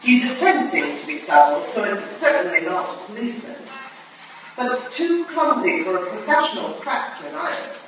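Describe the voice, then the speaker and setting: A shrewd, commanding Victorian lady detective acted by a woman speaks in formal, clipped, imperious phrases. It is a staged reading, caught from far off in a very echoey hall with clear background noise. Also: a little high, very animated, normal speed, a bit bright. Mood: coolly analytical. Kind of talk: staged reading of a period drama. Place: live staged reading in a roomy indoor venue.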